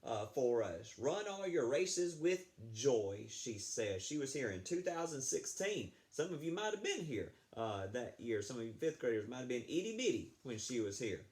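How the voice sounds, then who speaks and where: A man's voice: medium pitch, sing-song, normal speed, few pauses, darkish tone. A man reading a picture book aloud to children, expressively, with casual asides. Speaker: read-aloud storyteller, apparently addressing schoolchildren.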